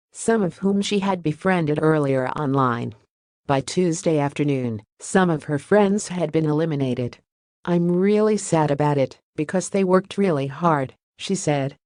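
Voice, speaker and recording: Low-voiced woman reading the news. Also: a little mellow, no room sound, studio quality. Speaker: narrator. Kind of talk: reading a news article aloud.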